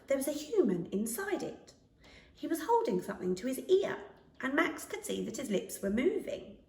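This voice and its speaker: A woman reading a children's story aloud as an expressive narrator. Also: high-pitched. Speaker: storybook reader. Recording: echoey room, distant microphone.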